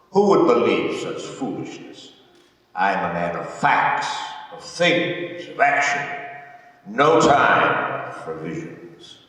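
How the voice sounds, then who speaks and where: A man playing a gruff, dismissive prison warden in a theatrical monologue, with emphatic delivery, long pauses and sudden swells. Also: lowish voice, fairly bright tone, exaggerated voice. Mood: scornful, proud. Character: gruff, dismissive prison warden governing a remote mountain fortress. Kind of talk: theatrical dramatic monologue read on stage. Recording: very echoey hall, far from the mic.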